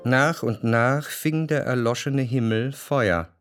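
A man's voice: medium pitch, lightly acted. Actor as introspective, melancholy male narrator. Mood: reflective, troubled. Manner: measured, reflective. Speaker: first-person narrator. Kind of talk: literary radio drama narration. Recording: studio narration with faint music.

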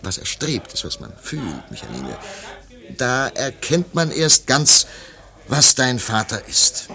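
Washed-up wistful artist in a radio play, theatrical, halting, voice going up and down a lot. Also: male voice, medium pitch, wildly swooping pitch, creaky, sharp tone, heavily acted. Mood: musing, fervent. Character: disillusioned, wistful male artist musing over wine. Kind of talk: German radio play acting.

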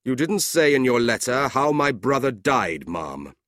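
A man's voice: mid-range voice, sing-song, a bit bright, no echo, studio quality, voice pushed up. A man voicing a cold, scornful older businessman uncle with a curt, sneering delivery in a storybook reading. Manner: curt, sneering, drawn-out emphatic words.

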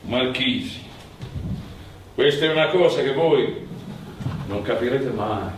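A man's voice: mid-range voice, slow pace, pitched below the performer's own voice, natural voice. A man playing a solemn, generous peasant king in a stage drama, with a Sicilian accent. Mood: grave, tender. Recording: very echoey hall, distant mic, faint noise.

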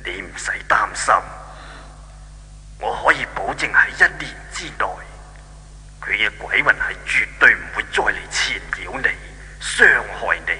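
A man's voice: lowish voice, lively intonation, measured pace, sharp tone, exaggerated voice. A man playing a stern but benevolent old deity in a Cantonese radio drama from 1970s Hong Kong, preaching in a solemn, commanding manner. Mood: solemn reassuring. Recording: echoing, hissy old radio drama recording.

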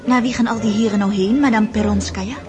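A woman's voice: mid-range voice, fairly even intonation, brisk, a crowd in the background, lightly acted. An actress in a Dutch radio drama playing an eager, romantic young girl at her first grand ball, dreamy and yearning. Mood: excited, curious.

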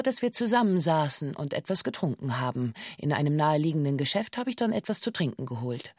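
An actress playing a young former bank clerk who gives a formal, matter-of-fact witness statement in a radio drama. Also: female voice, on the low side, a little mellow, dry recording, clean studio sound. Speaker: actor in a radio documentary drama. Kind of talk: scripted first-person testimony read aloud.

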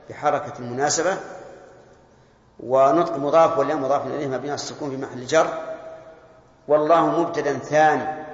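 Man lecturing in an echoey hall. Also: medium pitch. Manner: measured, explains step by step, asks students questions. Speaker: teacher of Arabic grammar. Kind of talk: classroom lecture. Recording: old taped lecture in echoey hall, dull sound.

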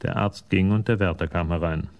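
An actor as the narrator of a crime radio play, reading in a measured, detached manner. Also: male voice, sits low, fairly even intonation, muffled recording.

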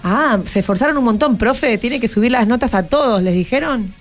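Casting: female radio presenter, Argentine accent, higher-pitched, conversational and encouraging with young guests, faint music bed. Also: brisk. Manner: encouraging, asks many questions. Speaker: radio show host. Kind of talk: chatty radio hosting with schoolchildren. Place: radio studio.

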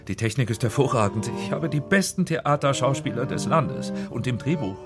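Radio drama part: male actor as proud, self-deceiving film director, very animated, loud string music underneath. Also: mid-range voice, moderate pace, close to an everyday voice. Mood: defensive pride. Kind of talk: German radio drama acting.

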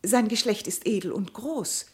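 A woman's voice: mid-range voice, normal speed, lightly acted. An actress playing an earnest, persuasive female counsellor at an animal court, declaiming and coaxing in a radio drama. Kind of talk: classic German radio drama verse acting.

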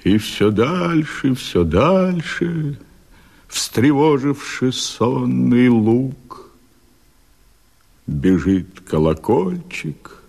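An actor playing a doomed, anguished rebel leader, declaiming verse impassioned and drawn out in a radio play. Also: male voice, mid-range voice, big pitch swings, vocal fry, darkish tone, slightly rough, full character voice. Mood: anguished, nostalgic. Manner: impassioned, drawn-out, tragic delivery. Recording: long reverb, music behind.

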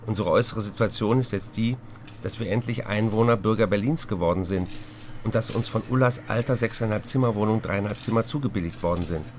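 An actor playing a troubled male writer, reading his letters in a measured, confiding, literary manner for a documentary. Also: fairly low pitch, darkish tone, close to an everyday voice. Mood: sober, weary.

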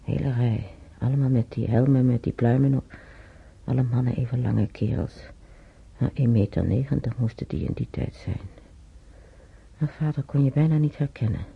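An actor playing an elderly man who haltingly reminisces in a radio drama, searching his memory and muttering to himself. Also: medium pitch, vocal fry, breathy edge. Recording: muffled old radio drama recording.

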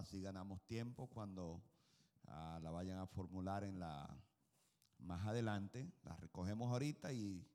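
A man's voice: fairly low pitch, darkish tone. A man moderating a church panel, courteous and a little hesitant as he announces the next speaker.

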